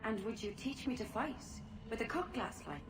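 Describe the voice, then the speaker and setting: An eager young lass, higher-voiced and questioning. She sounds set back from the mic, with game noise around her. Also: sing-song, moderate pace, far from the mic. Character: eager young woman wanting to learn sailing and fighting.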